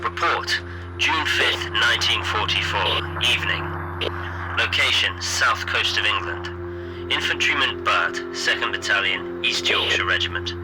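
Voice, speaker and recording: Wartime army radio reporter, low, formal, clipped dispatches with radio effect, music underneath. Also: male voice, a little slow, poor audio. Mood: formal, grave.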